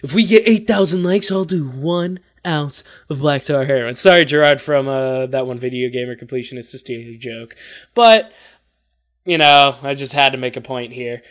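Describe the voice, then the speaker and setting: Deep-sounding casual guy reacting. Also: moderate pace, a bit bright. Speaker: reaction video host. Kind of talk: casual reaction commentary to camera. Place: small bedroom indoors.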